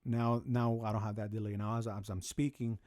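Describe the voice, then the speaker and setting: A man hosting a music production tutorial from a home studio, talking through his steps in a relaxed, rambling way with lots of fillers and restarts. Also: brisk, no room sound. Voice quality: deep voice. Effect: auto-tune pitch correction on some lines. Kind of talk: casual screen-recorded tutorial. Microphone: studio vocal microphone.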